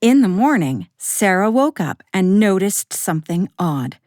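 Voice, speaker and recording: A woman narrating a children's bedtime story in a gentle, expressive way, speaking straight to young listeners. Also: mid-range voice, measured pace, no echo, clean studio sound. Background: music.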